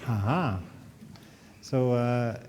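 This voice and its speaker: Mellow-voiced male moderator in a hall. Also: medium pitch, extremely slow. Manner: brief, encouraging interjections. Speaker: panel moderator. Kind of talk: moderating a panel. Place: large hall with audience. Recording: reverberant hall, faint ambience.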